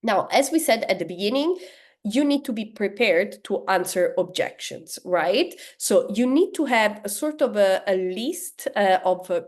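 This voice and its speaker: Italian-accented woman teaching sales. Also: medium pitch. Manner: conversational, lots of "right?" and "uh" fillers, acts out sample dialogues. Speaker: sales trainer. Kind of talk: business training lesson.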